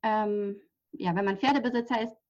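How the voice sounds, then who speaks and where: A woman's voice: medium pitch, steady tone, moderate pace, darkish tone. A woman in her thirties from near Hamburg, speaking as a podcast guest in a chatty way, with many fillers and light laughs. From near Hamburg, Germany. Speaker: podcast guest, journalist and horse therapist. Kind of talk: casual interview talk. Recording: slightly dull lo-fi audio.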